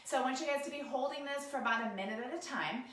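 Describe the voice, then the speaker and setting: Hoarse woman leading desk stretches. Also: sits high, fast, fairly bright tone, heavy reverb, a little hiss or hum. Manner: casual, encouraging, talks while demonstrating stretches. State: hoarse from a cold. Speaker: posture and ergonomics instructor. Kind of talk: guided stretching lesson, talking to camera. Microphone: camera mic, sometimes turned away.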